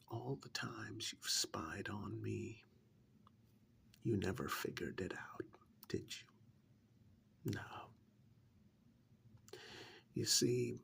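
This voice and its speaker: A man playing a coldly patronizing serial killer in a soft-spoken ASMR role-play, breathy and half-whispered, with condescending, deliberate delivery. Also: fairly low pitch, heavily acted. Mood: quiet menace. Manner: slow, deliberate, condescending, drawn-out pauses. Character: menacing male serial killer, coldly patronizing to his captive.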